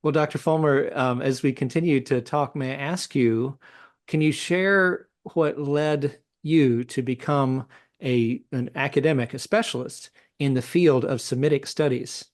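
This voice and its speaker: A man hosting an interview, asking polite, measured questions. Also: medium pitch. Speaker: interview host. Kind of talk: conversational interview.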